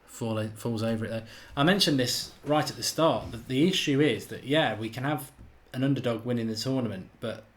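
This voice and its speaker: A man's voice: medium pitch, fairly quick, hardly any pauses. A man with a slight Irish accent hosting a live football watchalong, chatting and thinking aloud while he reads out viewer comments. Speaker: host of a live match watchalong.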